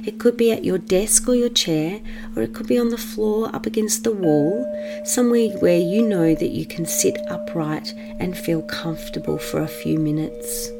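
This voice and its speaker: A woman leading a guided meditation for children in a gentle, measured manner with long pauses. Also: mid-range voice, gravelly, music in the background.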